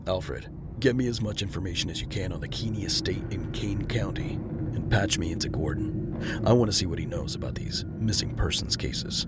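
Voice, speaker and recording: A man's voice: low voice, normal speed, natural voice. A man playing a grim, terse vigilante detective in an audio drama, with a curt, commanding, brooding manner. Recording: loud music under the voice.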